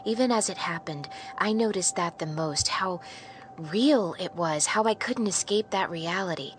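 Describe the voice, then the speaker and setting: Actress as haunted lady telling her story, hushed n grave, lowish voice, faint music. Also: moderate pace, lightly acted. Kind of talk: dramatic scripted narration.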